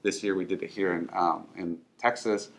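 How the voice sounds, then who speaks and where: A man's voice: deep voice, fairly even intonation, moderate pace. A middle-aged man who grew up in Mexico and speaks English as a second language, telling his personal story to the camera in an earnest way with frequent ums and restarts. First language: Spanish. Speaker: financial firm owner.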